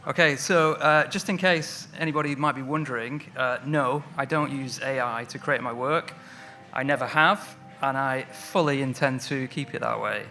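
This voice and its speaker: Manchester man presenting on stage, bright and tense tone, friendly and self-deprecating. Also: mid-range voice, normal speed, soft background music. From Manchester, England. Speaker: lettering artist giving a talk. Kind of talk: conference talk. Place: stage at an evening event.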